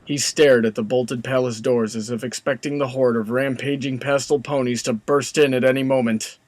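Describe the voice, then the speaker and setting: A man's voice: medium pitch, steady tone, moderate pace, darkish tone, dry recording. A man reading scripted story narration in a dramatic storytelling style.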